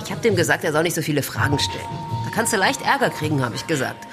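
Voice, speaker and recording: Weary streetwalker in a radio play: female, very low, gravelly, blunt and teasing, over prominent music. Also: big pitch swings, quick pace. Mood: guarded. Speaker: actor. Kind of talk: naturalistic German radio drama acting. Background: music behind the voice.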